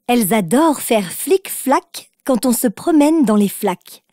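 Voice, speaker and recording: A woman's voice: a little high, animated, unhurried, dark tone, slight background noise. A woman playing a patient, encouraging mother figure who tells riddles to young children in a cartoon. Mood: playful, teaching.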